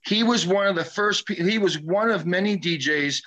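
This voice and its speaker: A man's voice: high-pitched, normal speed, sharp tone, rough recording. A middle-aged man, a guest historian, talking at length in an online show interview, often repeating and restarting his phrases.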